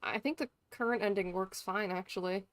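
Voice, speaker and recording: A game streamer with a feminine-sounding, mid-range voice. The delivery is casual, expressive and playful, with plenty of swearing. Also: mid-range voice, brisk, smooth. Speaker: livestreamer. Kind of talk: casual gaming commentary.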